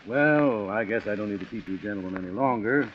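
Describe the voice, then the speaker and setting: An actor playing a brisk, matter-of-fact American chief inspector with blunt, dismissive authority in 1940s radio style. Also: male voice, fairly low pitch, close to an everyday voice. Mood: brisk, assured. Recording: dull, noisy old radio broadcast.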